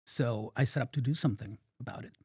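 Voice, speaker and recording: Measured man from Argentina, mellow voice. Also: mid-range voice, slightly rough, no room sound, studio quality. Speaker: startup founder and guest. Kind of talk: interview answers.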